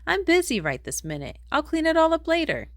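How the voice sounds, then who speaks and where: A busy little boy alligator from a picture book, put on by a woman reader. He is sassy and dismissive, rattling his words out quickly with a pitch that leaps upward. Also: wildly swooping pitch, no room sound, studio quality, heavily acted. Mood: brushing off. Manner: hurried, dismissive.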